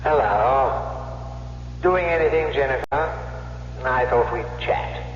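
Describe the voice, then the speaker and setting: An actor playing a dead husband's ghostly voice on the telephone in a vintage radio drama, eerily casual. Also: male voice, medium pitch, slow pace, natural voice. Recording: muffled, hissy old radio with long echoing reverb.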